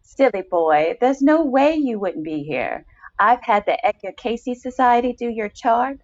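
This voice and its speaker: A woman's voice: fairly high pitch, very animated, unhurried, muffled recording. A woman reading a short story in the role of a mysterious older lover with many personalities, teasing and unbothered. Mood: teasing, knowing.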